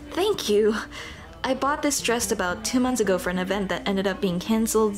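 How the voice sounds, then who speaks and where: Woman acting a nervous, high-voiced young monster girl. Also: close to an everyday voice. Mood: nervous, bashful. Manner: nervous, rambling, stammering. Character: shy, flustered female dullahan monster girl on a date. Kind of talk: ASMR roleplay acting. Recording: music behind the voice.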